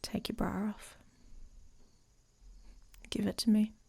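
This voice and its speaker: A woman playing a dominant, teasing female werewolf in an intimate ASMR audio roleplay, with a breathy, whispery voice and a commanding manner. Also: medium pitch, level tone, slow, mellow, full character voice. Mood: seductive, commanding.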